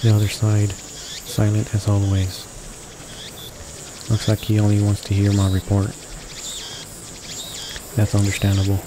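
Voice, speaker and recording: A man reads a visual novel aloud in a low, very flat voice. He narrates haltingly, tripping on words and correcting himself as a game host. A steady noise sits clearly behind him in a homemade recording. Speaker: video game walkthrough host reading aloud. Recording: noisy home recording with constant background hiss.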